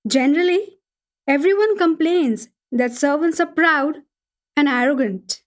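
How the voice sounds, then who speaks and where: An actress playing an observant Indian woman writing about life in 1930s London, read formally and in a measured, explanatory way for a radio play. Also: high voice. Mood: earnest, observant. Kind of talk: scripted dramatic reading of a travel account.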